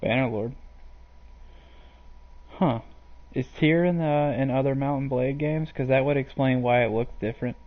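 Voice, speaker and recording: A man's voice: medium pitch, normal speed, pauses often. A man talks through his gameplay live, drifting from point to point with lots of ums and uhs. His voice sits in an ordinary middle range. The mic sounds dull and lo-fi. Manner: rambling and hesitant, many fillers. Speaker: game streamer.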